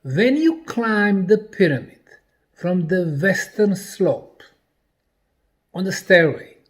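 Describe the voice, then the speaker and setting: Slow, animated male lecturer from Bosnia. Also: sits high. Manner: deliberate, emphatic, speaking in short broken phrases. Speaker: presenter and researcher. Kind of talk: explanatory lecture presentation.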